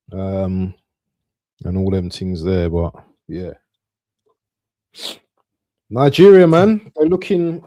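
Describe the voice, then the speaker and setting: A man co-hosting a football stream over a video call with his camera off, chatting casually, joking, and giving shout-outs. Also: fairly low pitch, no room sound. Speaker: co-host on the stream.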